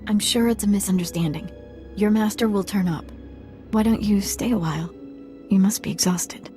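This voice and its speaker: An actress playing a remorseful village matriarch in a video game, speaking softly and earnestly to plead for her people. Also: medium pitch, normal speed, music in the background, natural voice. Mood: evasive calm. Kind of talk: video game cutscene acting.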